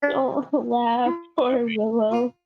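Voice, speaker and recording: A woman with a high, creaky voice chats slowly and sympathetically with a group. She gushes a little, and her online-call audio is very muffled and narrow. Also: steady tone, music in the background. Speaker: meeting participant. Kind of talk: casual group chat. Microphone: online meeting mic.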